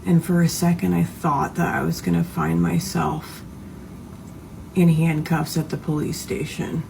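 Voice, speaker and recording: A woman with a hoarse voice telling a story in a flat, unhurried manner for a phone video. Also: low-pitched, a little hiss or hum. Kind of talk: social media monologue.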